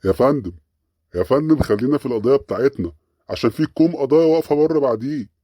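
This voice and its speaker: A man's voice: medium pitch, racing, mellow, dry recording, clean studio sound, natural voice. A man voicing a harried, dutiful police sergeant in an Egyptian comedy cartoon, urgent yet deferential, with an Egyptian accent. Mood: pleading.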